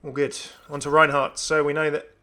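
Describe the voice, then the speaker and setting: A man hosting a gaming video, giving casual, enthusiastic commentary in a creaky voice as he browses game items. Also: on the high side, flat delivery, brisk, pushed and bright. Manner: chatty, enthusiastic. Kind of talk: casual gameplay showcase commentary.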